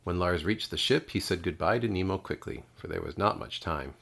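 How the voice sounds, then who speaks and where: A man's voice: low-pitched, brisk, vocal fry, fairly bright tone. A man reading children's storybooks aloud expressively, like a kindergarten teacher.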